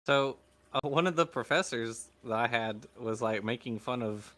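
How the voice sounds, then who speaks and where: A man's voice: medium pitch, normal speed, fairly bright tone. A man with a Southern US accent co-hosting a podcast in a laid-back, wry way. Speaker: podcast co-host. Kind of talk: casual chat.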